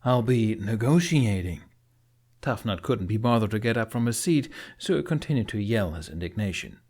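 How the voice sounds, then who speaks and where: A man's voice: on the low side, brisk, darkish tone. A man reading scripted fiction as an audiobook narrator.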